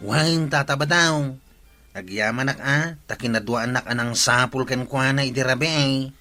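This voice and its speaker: A man's voice: mid-range voice, moderate pace, pushed and bright, soft background music, lightly acted. A man acting a questioning village man in a comedy radio drama, with an Ilocano accent. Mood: puzzled.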